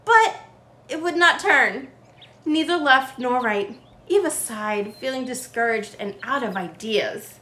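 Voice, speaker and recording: Animated young woman reading a storybook. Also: high voice, a little slow. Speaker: children's storytime host. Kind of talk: expressive picture-book read-aloud. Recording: roomy, with faint background noise.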